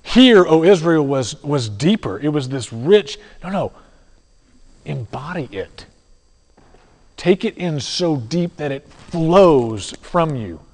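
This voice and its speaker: Guy preaching a sermon, teaching tone, slows down n drops quiet for effect, big hall echo. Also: a little high, sing-song. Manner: teaching, with dramatic pauses and soft drops. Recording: long hall reverb.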